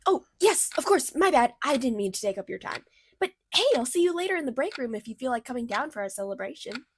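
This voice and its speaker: A woman voicing a bubbly, caring female cartoon character in a radio play, chatty, motherly and expressive. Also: sits high, quick pace, background noise. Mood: excited. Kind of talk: fictional radio theater acting.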